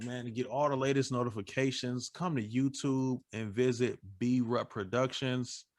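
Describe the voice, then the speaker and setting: A man at a middle pitch chats easily on a live stream. He is laid-back and keeps dropping "man" into his sentences. The sound is dry, with no room around it. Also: no room sound. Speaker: video producer guest. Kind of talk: casual live chat. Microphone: phone or computer live stream.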